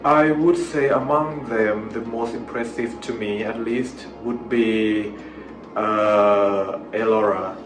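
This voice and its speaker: A man from Thailand, a native Thai speaker with a Thai accent, chatting casually as an interview guest in a halting way that repeats words. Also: lowish voice, steady tone. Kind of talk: casual chat. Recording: echoey room with clear background noise.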